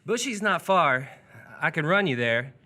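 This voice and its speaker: A local teenage guy, played by a man in a radio play, blunt and offhand. The voice is bright and forward, with a cautioning edge. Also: a little high, big pitch swings, unhurried, full character voice. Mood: offhand, warning. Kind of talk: live radio theatre acting.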